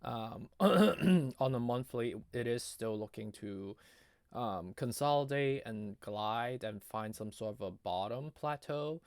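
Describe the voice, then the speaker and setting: A man giving casual, off-the-cuff chart commentary in a halting, conversational way, his voice strained as if giving out. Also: mid-range voice, lively intonation, darkish tone, clean tone, no room sound, clean studio sound. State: losing his voice, often clearing throat. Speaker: market analysis video host.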